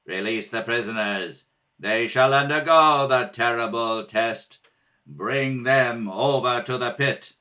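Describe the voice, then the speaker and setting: A man's voice: mid-range voice, slow, bright, forceful tone, pitched below the performer's own voice. A man playing a cruel, imperious tyrant emperor in a radio serial, gloating and commanding. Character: Ming the Merciless, menacing, cruel male tyrant emperor.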